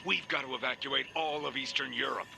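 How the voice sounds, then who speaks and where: An actor playing a gruff, harried military commander in a video game, speaking bluntly in clipped bursts over a radio link. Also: male voice, medium pitch, fairly even intonation, brisk, pushed and bright, slight background noise, full character voice. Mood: blunt, commanding. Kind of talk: video game cutscene acting.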